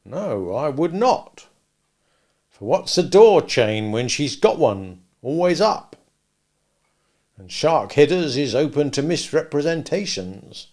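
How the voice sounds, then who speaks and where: A man doing a slow, earnest country blacksmith. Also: on the high side, darkish tone, pitched above the performer's own voice, heavily acted. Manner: slow, ponderous, rustic grammar. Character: slow kindly working-class blacksmith man.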